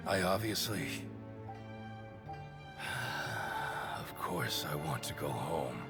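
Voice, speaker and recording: A man playing a desperate, loyal soldier beside his comrade, emotional and halting in a dramatic game scene. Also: rumbling low, expressive, heavily acted. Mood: weary, wistful. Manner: emotional, pleading, broken phrasing. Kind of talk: dramatic video game acting. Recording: loud music over the voice.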